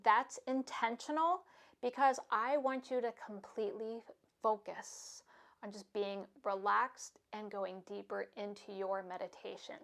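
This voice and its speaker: A woman yoga instructor giving calm, soothing, unhurried cues for stretching, breathing and meditation. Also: a little high.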